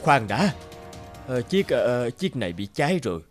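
A man's voice: medium pitch, very animated, moderate pace, close to an everyday voice. A man voicing a grieving, suspicious old mother in a cartoon fairy tale, plaintive and dramatic. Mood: panicked. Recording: loud music behind the voice.

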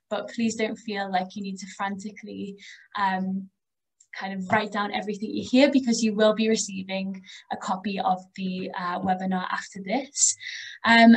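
A woman's voice: mid-range voice, low-quality recording. Young Liverpool woman hosting a webinar. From England. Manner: friendly, conversational, frequent ums. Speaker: webinar host, education advisor. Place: home room on a video call. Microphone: wired earphone mic.

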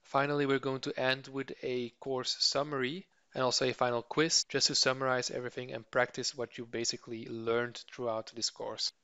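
A man introducing an online course over slides as its instructor, explaining steadily and now and then pausing on a filler. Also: mid-range voice, flat delivery, bright, forceful tone, clear, resonant tone, dry recording, lo-fi sound.

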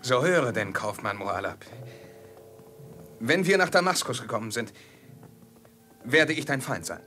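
An actor playing a proud, guarded servant in a terse, formal 1950s radio play manner. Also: male voice, mid-range voice, animated, a little slow, sharp tone, faint music behind. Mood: defiant. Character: proud, guarded servant turning enemy to his master.

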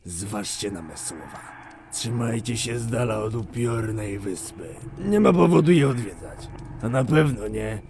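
A man playing a gruff, paranoid, ominous camp quartermaster in a dubbed cartoon. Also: fairly low pitch, lively intonation, measured pace, a little mellow. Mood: ominous warning. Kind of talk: animated cartoon dub acting.

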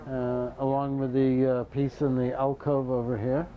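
A man's voice: mid-range voice, level tone, moderate pace. A man, a wood turner, explaining his pieces hesitantly with fillers. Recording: dull muffled audio.

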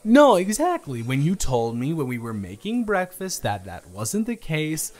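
A man's voice: fairly high pitch, huge pitch swings, unhurried, darkish tone. A man acting out a playful, flustered young guy on a first date in an improvised audio roleplay, teasing and stammering with mock indignation. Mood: teasing suspicion. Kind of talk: improvised romantic audio roleplay, casual chat.

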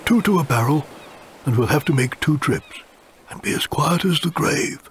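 Here is a man acting out a pompous storybook pirate captain. He is hearty and bossy, with a high voice that swoops excitably, over clear background noise. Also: moderate pace, natural voice.

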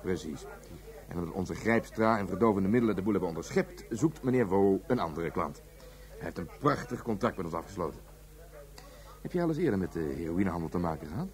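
An actor playing a brisk, dutiful Dutch police detective in a radio play. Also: male voice, low voice, lively intonation, darkish tone. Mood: matter-of-fact.

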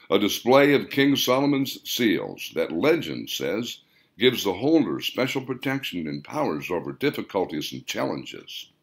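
Guy reading an audiobook, kinda deep, very animated intonation, measured storyteller delivery. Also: creaky. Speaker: author reading own audiobook. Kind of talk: scripted audiobook narration.

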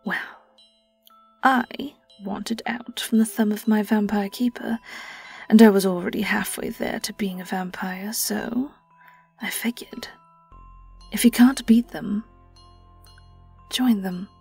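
A woman playing a sly, vengeful vampire in an audio roleplay, with a British accent, a breathy, often whispered voice and a taunting, theatrical delivery. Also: mid-range voice, fairly even intonation, slow, pauses often, darkish tone, faint music behind, exaggerated voice. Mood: savouring, sly. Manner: taunting, theatrical, lingering on words. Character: vengeful young female vampire, sly, gloating and predatory. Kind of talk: dramatic audio roleplay monologue.

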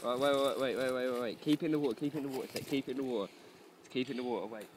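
Hurried young man barking orders outdoors. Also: mid-range voice. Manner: hurried, bossy instructions. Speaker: angler. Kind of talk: casual chat while fishing. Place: outdoors by a lake. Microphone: phone camera held by a friend. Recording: loud outdoor noise on a phone video.

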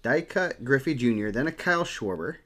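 A man's voice: medium pitch, expressive, fairly quick, a bit bright. A middle-aged man chatting casually as he hosts a card-opening show from a desk in a small room. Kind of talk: casual chat while showing cards.

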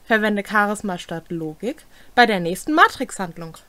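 A woman's voice: mid-range voice, lively intonation, moderate pace, bright, forceful tone. A young woman co-hosting a casual chat, reading out and commenting on game rules. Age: young adult. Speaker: co-host presenting game rules. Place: small cluttered home room.

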